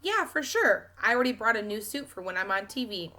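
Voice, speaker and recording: A woman voicing a cocky, excitable fifth-grade boy eager for fame in a storybook reading. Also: medium pitch, brisk, faint music behind. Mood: boastful, excited. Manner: cocky, emphatic, exclaiming.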